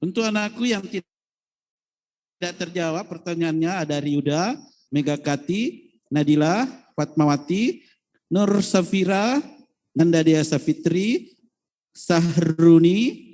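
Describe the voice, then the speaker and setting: A man moderates a school session in a polite, formal way. His voice is fairly high but dark and mellow, and it carries a long reverb, like a big hall.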